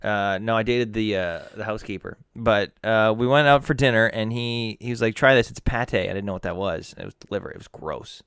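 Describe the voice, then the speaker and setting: A man's voice: on the low side, fairly quick, few pauses, fairly bright tone, smooth, dry recording, studio quality. A man co-hosting a casual podcast while feeling sick, telling rambling, profane, self-mocking stories. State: ill, under the weather. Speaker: podcast co-host. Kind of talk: casual chat.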